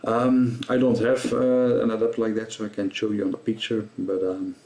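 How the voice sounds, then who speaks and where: A man with a fairly low voice and plenty of gravelly fry presents a gear tutorial. He explains things casually and step by step. His delivery is hesitant, often stopping and repeating a word before moving on. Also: little pitch movement, moderate pace, warm tone.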